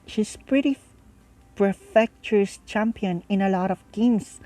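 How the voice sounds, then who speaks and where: Casting: female amateur narrator, unhurried, mellow tone, halting read-through with casual comments, faint music behind. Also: medium pitch, low-quality recording. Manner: halting, stumbles over words and rereads. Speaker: reader and commentator. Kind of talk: visual novel read-aloud with casual asides.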